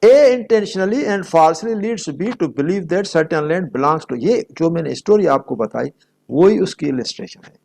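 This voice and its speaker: A man's voice: on the high side, lively intonation, takes it slowly, vocal fry, darkish tone. A man giving an explanatory law lecture, reading out formal text and restating each point in a didactic way. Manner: didactic, repeats and rephrases points. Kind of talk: explanatory lecture mixing read-out statute and plain examples.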